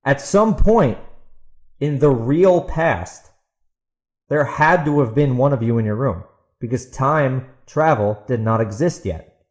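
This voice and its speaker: Male podcast host, medium pitch, casual and opinionated, emphatic conversational rant. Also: animated, slow pace, a little mellow.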